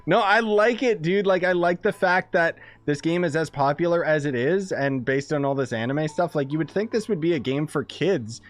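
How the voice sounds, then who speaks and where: A man doing casual, slangy gameplay commentary, excitable and prone to swearing, with game music behind him. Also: fairly high pitch, moderate pace, hardly any pauses. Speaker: game streamer reacting. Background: video game music.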